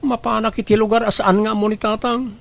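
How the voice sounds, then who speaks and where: A woman's voice: on the high side, little pitch movement, brisk, creaky. A woman playing a timid, hard-working youngster in a radio drama, speaking in a deferential, pleading manner. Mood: distressed, pleading. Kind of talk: Ilocano radio drama acting.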